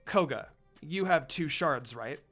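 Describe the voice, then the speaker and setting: Kind calm young woman as a man reads her, reassuring, storybook. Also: fairly high pitch, unhurried, faint music behind.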